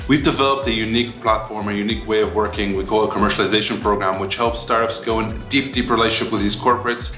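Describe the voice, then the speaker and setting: Quick confident pitch from a guy, sharp bright voice, roomy echo. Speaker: innovation platform manager. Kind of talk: business pitch.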